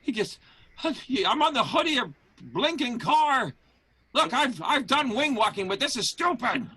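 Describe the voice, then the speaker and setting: A woman acting a frantic, cocky vaudeville wing walker in an improvised radio soap, exclamatory and shouting. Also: sits high, expressive, a little slow, husky, full character voice. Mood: indignant shouting.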